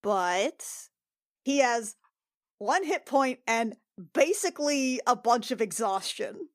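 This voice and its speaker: A woman's voice: on the high side, animated, slow, no room sound, clean studio sound. A woman playing in a tabletop roleplaying podcast, talking casually as she works through the game.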